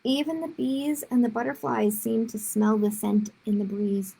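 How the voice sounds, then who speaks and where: A woman's voice: on the high side, fairly even intonation, normal speed, vocal fry, warm tone, slightly rough, low-quality recording. A woman reading a children's story aloud expressively, with short explanatory asides to young listeners.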